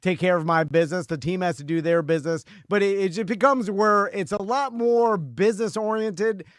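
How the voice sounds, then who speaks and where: Brief: forty-four-year-old male co-host, rambling and loose, a bit higher-pitched, dry sound. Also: moderate pace, no echo. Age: 40s. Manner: rambling, many fillers, laughs easily. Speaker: podcast co-host. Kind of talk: casual podcast chat.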